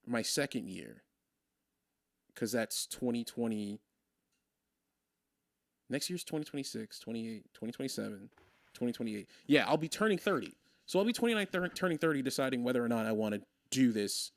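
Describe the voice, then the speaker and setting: A man's voice: medium pitch, gravelly, dry recording. Twenties male streamer, casual and rambling, laughs easily, dry close sound. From Maryland. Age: 20s. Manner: rambling, thinks out loud, laughs often. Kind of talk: casual chat on a game stream.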